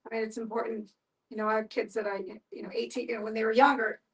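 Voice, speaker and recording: Middle-aged female discussion participant, casual delivery, raised pitch with marked fry, distant pickup. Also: flat delivery, quick pace. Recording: distant microphone.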